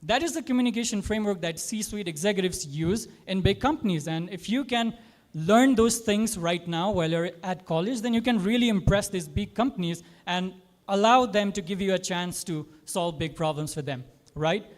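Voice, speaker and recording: A man's voice: high-pitched, normal speed. A man from Nepal speaking on a panel, encouraging students in an earnest, motivating way with rhetorical questions.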